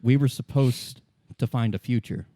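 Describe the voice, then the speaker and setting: A man narrating as game master, explaining fictional lore in a measured, solemn and deliberate storytelling manner. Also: mid-range voice, mellow, dry recording, clean studio sound. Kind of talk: improvised lore exposition in a tabletop game.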